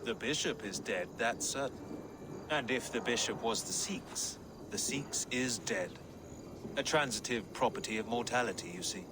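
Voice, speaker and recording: An actor playing a courteous, calculating medieval English reeve with a measured, dryly ironic manner. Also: male voice, medium pitch, noisy background, close to an everyday voice. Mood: dry, knowing. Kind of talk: video game character acting.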